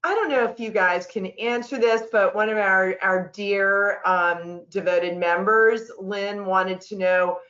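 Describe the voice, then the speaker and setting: A woman co-hosting over a video call, reading audience questions in an unhurried, friendly way. Her voice is bright and clear-toned, and she speaks slowly. The call sound is dull and lo-fi. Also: medium pitch. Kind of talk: casual interview.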